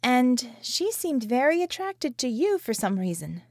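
A woman playing a sardonic, sharp-tongued, weary woman with a wry, emphatic manner in an audiobook. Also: high-pitched, big pitch swings, unhurried, no echo, studio quality, full character voice. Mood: earnest, explaining.

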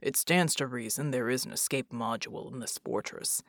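A woman voicing a stoic, analytical man who muses in formal, measured sentences, in an audiobook. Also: a bass voice, no echo, studio quality, voice pushed down. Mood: musing.

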